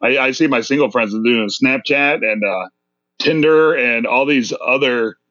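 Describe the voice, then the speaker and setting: A man in his mid thirties chatting casually on a podcast, rambling with lots of fillers and quick laughs. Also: medium pitch, pushed and bright, no room sound. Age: 30s. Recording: dry, dull lo-fi sound.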